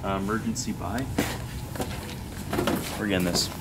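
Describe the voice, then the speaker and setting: A man's voice: fairly low pitch, fairly even intonation, a little slow, heavy background noise. A young man co-hosting a casual vlog, bantering playfully while shopping in a big store, recorded on a handheld camera. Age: young adult. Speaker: vlog co-host. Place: large store.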